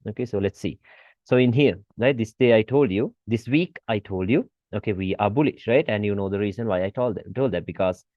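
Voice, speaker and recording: A man's voice: on the low side, brisk, no room sound, muffled recording. A man giving an informal screen-share tutorial as an instructor, repeating his points and often checking understanding with rhetorical questions. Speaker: forex trading instructor.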